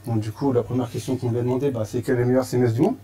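French male presenter, casual, hesitant delivery, warm low tone. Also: fairly even intonation, racing. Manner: hesitant, many fillers and restarts. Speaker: meetup presenter. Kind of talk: casual conference talk. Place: meetup room with audience.

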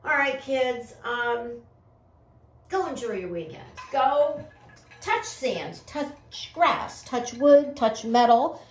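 Female vlog host, sassy and theatrical, unhurried, recorded far from the mic in a roomy space. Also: high-pitched, lively intonation, faint music behind. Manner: theatrical, sassy, drawn-out delivery. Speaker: host of a crime and fashion commentary show. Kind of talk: casual vlog commentary to camera. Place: small furnished room, indoors. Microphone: far camera microphone. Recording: echoey room, distant microphone.

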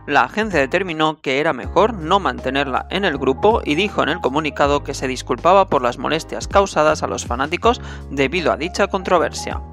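A man's voice: fairly high pitch, expressive, a little fast, few pauses, fairly bright tone. A man hosting a scripted entertainment news roundup, addressing viewers directly as a presenter. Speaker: host of a Korean entertainment news channel. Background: music under the voice.

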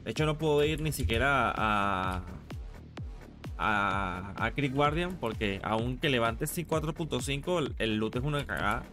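A man's voice: medium pitch, lively intonation, measured pace, bright, forceful tone, soft background music. A man with a Latin American Spanish accent chatting casually on a live gaming stream, informal and slangy, with some casual swearing. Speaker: game streamer.

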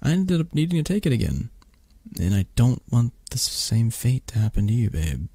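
A low-voiced, protective young dragon boyfriend acted by a man murmurs close and dry in a romantic ASMR roleplay. He is tender and teasing, with a husky, breathy tone and lively rises and falls as he coaxes. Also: moderate pace, dry recording, exaggerated voice. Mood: caring, gently scolding.

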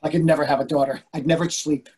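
Husband and father older man actor: husky, slightly worn voice, earnest, fretful, needling, conversational play reading. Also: on the high side, flat delivery, fast, mellow. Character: anxious, fretful middle-aged husband and father. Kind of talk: readers theatre play reading. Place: indoors, on a video call.

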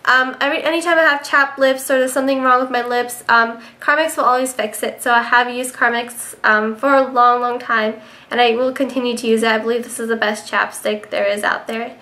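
A young woman with a stuffy, nasal voice, sick but cheerful enough to chat through her favorite products. Her tone is bright and sits a little high, full of casual ums. She is recorded on a webcam in her bedroom. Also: fairly even intonation, fairly quick. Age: young adult. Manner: chatty, many ums. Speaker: beauty vlogger. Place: bedroom indoors.

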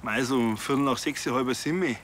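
An actor playing a grumpy Bavarian farmer in his forties, with a gruff rustic way of talking and a strong local accent. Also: medium pitch, moderate pace, a bit bright, a little hiss or hum, natural voice. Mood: disgruntled. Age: 40s.

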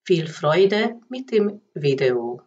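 Slow, calm woman astrologer, dull sound. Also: low voice. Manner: calm, unhurried, gently explaining. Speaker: astrologer and channel host. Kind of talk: slow storybook narration of astrology.

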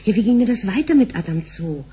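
A woman's voice: medium pitch, animated, fast talker, dark tone, breathy edge. An actress playing a worried, sceptical young woman in a sci-fi radio drama, chiding and incredulous. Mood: concerned.

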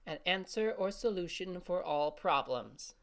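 Woman playing boy, older brother, teasing, confident, joking, lower register, audio drama acting. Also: lively intonation, unhurried. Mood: matter-of-fact.

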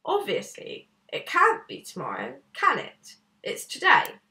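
A woman's voice: sits high, wildly swooping pitch, unhurried, bright, forceful tone, pitched above the performer's own voice, exaggerated voice. A woman voicing a cheerful, evasive male bear boatman from a storybook, waffling and politely reassuring. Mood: matter-of-fact. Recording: slightly distant camera microphone.

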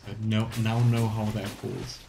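A man's voice: lowish voice, little pitch movement, a little slow, gravelly, background noise. A young man giving casual, playful live commentary as he plays a video game, chatting to the game and viewers. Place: small indoor room at home. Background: game music.

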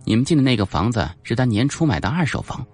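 A man voicing a sharp-tongued, teasing, jealous young policewoman in an audiobook. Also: mid-range voice, very animated, quick pace, breathy edge, soft background music, voice pushed up. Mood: brisk. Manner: teasing, bossy. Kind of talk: audiobook character acting.

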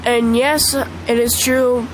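Woman, stuffy congested voice, slow drawling pace, noticeable background hum. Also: fairly high pitch. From Michigan. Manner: excitable, squeals at the game. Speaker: gaming channel host. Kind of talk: casual gameplay commentary. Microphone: phone screen recording.

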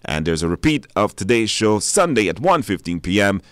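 A man's voice: on the low side, very animated, fairly quick, fairly bright tone, dry recording, clean studio sound. A man hosting a live radio show, announcing informally with hesitations and repetition. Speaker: radio talk show host. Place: dry radio studio.

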